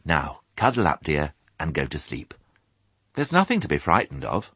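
A man voicing a motherly but sharp-tongued female sheepdog in a storybook. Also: on the low side, wildly swooping pitch, normal speed, no echo, pitched above the performer's own voice, exaggerated voice. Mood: soothing. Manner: soothing to her young, curt with others.

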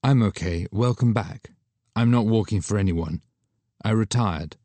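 A man voicing a subdued young woman, a retired model, who answers in a quiet, understated way. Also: lowish voice, measured pace, no echo, close to an everyday voice.

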